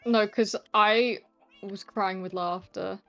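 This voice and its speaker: A woman's voice: mid-range voice, fairly even intonation, slow, creaky, fairly bright tone, faint music behind. A young woman in casual chat, hesitant and teasing. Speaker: debate candidate.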